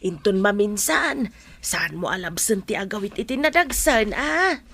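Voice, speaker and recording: Woman as a raspy, creaky, scolding village mother. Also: medium pitch, animated, a little slow, a bit bright, heavily acted. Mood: fretful, scolding. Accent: Ilocano. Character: fretting, scolding village mother of a grown son. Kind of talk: Ilocano comedy radio drama acting.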